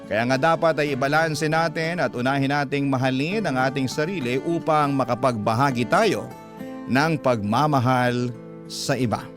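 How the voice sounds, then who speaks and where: A man with a Filipino accent reading a listener's letter as a radio host, in an earnest, measured storytelling style. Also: medium pitch. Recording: prominent background music. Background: guitar music.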